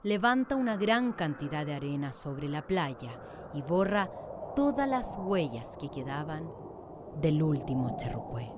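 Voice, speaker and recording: A woman narrating a scripted radio drama in a measured storytelling manner. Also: sits low, sing-song, noisy background.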